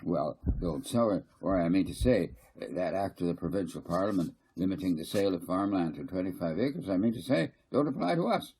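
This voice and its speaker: A man's voice: low-pitched, lively intonation, brisk, hardly any pauses, mellow, slightly rough, heavily acted, poor audio. A man voicing a flustered, blustering small-town councillor in a storybook, sputtering and repeating himself indignantly. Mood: alarmed, puzzled.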